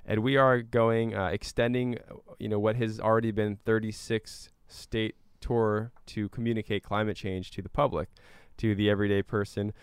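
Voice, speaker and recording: A man speaking as an interview guest, giving earnest, measured, explanatory answers in a conversational way. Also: fairly low pitch, level tone, clean tone, dry recording, clean studio sound. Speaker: interview guest, climate activist and scientist.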